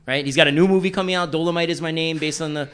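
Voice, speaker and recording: A man co-hosting a casual talk show with a nasal voice, relaxed and quick to laugh as he brings up news items. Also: on the high side, clean tone. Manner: easygoing, laughs often. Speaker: podcast co-host. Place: studio with several mics.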